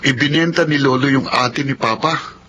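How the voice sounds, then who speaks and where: A man's voice: medium pitch, normal speed, dull audio, background noise, lightly acted. A man playing a practical, questioning young adult son in a radio drama, with a Filipino accent. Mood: earnest. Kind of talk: Tagalog radio drama acting.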